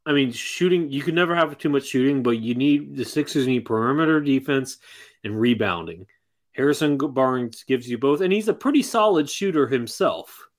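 A man co-hosting a casual sports podcast, arguing his points quickly and tripping over words now and then. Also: mid-range voice, expressive. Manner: opinionated, talks quickly, stumbles over words. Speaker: podcast co-host.

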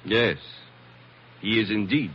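An actor playing a suave, guarded colonial gentleman, speaking with formal politeness in 1940s radio drama. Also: on the low side, takes it slowly, slight background noise. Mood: curt. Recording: muffled vintage radio recording.

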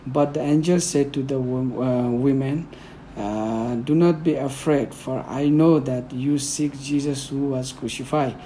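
Nepali-accented man, mellow soft-spoken guest reader, hesitant fillers, faint hiss behind. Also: mid-range voice, steady tone, a little slow, poor audio. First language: Newari. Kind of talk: conversational interview, then Bible reading.

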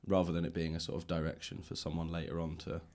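Deep-voiced man hosting a podcast. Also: flat delivery, fast talker, darkish tone. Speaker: podcast host and interviewer. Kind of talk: conversational podcast hosting and interviewing.

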